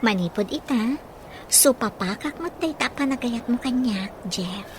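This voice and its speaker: An actress with an Ilocano accent playing a gentle, grateful young woman in a radio drama. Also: fairly high pitch, lively intonation, moderate pace, noisy background, natural voice. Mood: tender.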